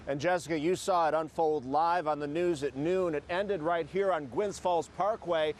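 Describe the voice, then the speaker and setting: A man's voice: a little high, fairly even intonation, moderate pace, slight background noise. A man delivering a scripted TV news report as a field reporter, live from a city street.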